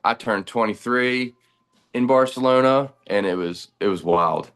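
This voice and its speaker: A man in his thirties, a band's bass player, talking in a relaxed, rambling way during a casual chat. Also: unhurried, clean tone. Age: 30s.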